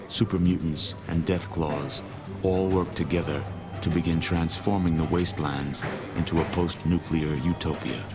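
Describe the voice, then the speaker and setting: A solemn narrator for a post-apocalyptic video game epic. The voice is deep and grave, with a measured, portentous delivery. Music plays clearly beneath the scripted narration. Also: male voice. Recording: music under the voice.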